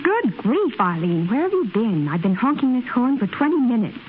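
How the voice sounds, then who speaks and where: A woman's voice: a little high, big pitch swings, moderate pace, soft background music. An actress playing an impatient, complaining young woman in a 1930s radio advert. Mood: exasperated. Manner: exasperated, complaining. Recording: muffled, hissy 1930s radio recording.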